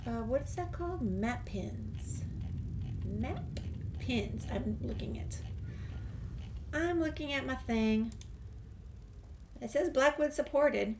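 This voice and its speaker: A woman's voice: high voice, animated, slow, long pauses, background noise. A woman hosting a gameplay video, thinking aloud in casual, rambling commentary. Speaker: gaming video host.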